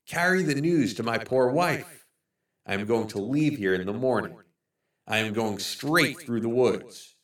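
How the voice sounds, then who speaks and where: A man voicing a lost, starving man who pleads in a soft, hushed, higher voice. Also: animated, measured pace, echoey room, distant mic. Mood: desperate.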